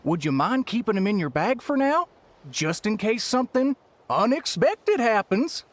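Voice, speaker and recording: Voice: medium pitch, big pitch swings, normal speed, lightly acted. A performer voicing a cheery, theatrical event host welcoming adventurers in a game cutscene.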